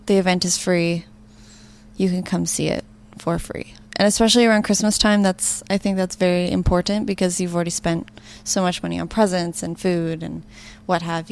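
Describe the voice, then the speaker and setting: A woman's voice: lowish voice, creaky, darkish tone. A young woman answering questions casually as an interview guest. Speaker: theater production member, interviewee.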